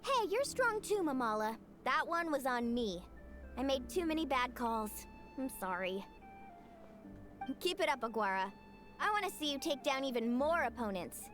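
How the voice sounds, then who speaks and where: A woman's voice: high voice, very animated, moderate pace. A woman playing a spirited, blunt young competitor and good sport in a video game. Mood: gracious in defeat. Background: game music.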